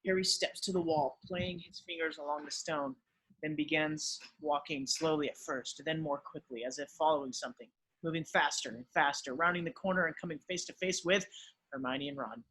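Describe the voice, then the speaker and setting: A man's voice: a little high, moderate pace, bright, forceful tone, breathy edge, low-quality recording. A man narrating screenplay directions at an amateur table read.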